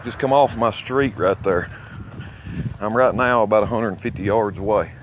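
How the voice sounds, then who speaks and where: A man's voice: lowish voice, moderate pace. A man with an Alabama Southern accent giving a rambling, folksy, boastful and coarse commentary as he walks outdoors. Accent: Alabama Southern American. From Alabama, United States. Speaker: amateur vlogger. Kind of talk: rambling walk-along commentary. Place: outdoors, walking along small-town streets. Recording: noisy outdoor recording with traffic sounds. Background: outdoor ambience, passing vehicles.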